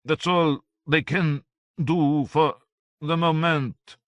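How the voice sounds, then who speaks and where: A tiny, dry-witted old philosopher who speaks wryly in a slightly raised voice, as a male narrator puts him on. The delivery is measured and judicious. The sound is dry and close. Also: a little high, fairly even intonation, fairly bright tone, dry recording, pitched above the performer's own voice. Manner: wry, judicious.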